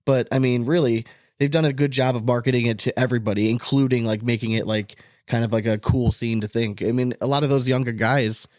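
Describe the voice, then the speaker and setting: Quick-talking man in a dry room. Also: mid-range voice, fairly even intonation, darkish tone, dry recording. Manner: conversational, often adds "you know what I mean". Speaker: podcast host. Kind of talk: casual sports podcast chat.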